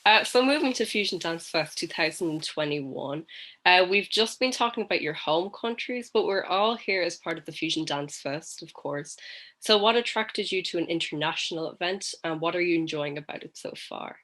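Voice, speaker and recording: A man's voice: on the high side, expressive, moderate pace, bright, forceful tone. A man with an Irish accent hosting a casual interview over a video call, with lots of ums and short, friendly replies. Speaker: host.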